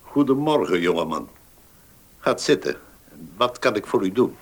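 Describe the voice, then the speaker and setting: Husky, formal notary. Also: male voice, fairly low pitch, big pitch swings, normal speed. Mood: courteous, knowing. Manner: formal, courteous. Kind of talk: Dutch radio play acting.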